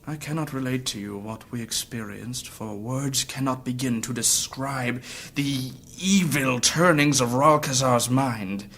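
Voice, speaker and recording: A grave, battle-worn fantasy adventurer. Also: male voice, medium pitch, unhurried, few pauses, fairly bright tone, a little hiss or hum. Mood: grim, haunted. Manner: solemn, formal archaic phrasing. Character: grave battle-worn adventurer recounting past horrors, courteous, guarded. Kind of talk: dramatic audio drama acting, storytelling.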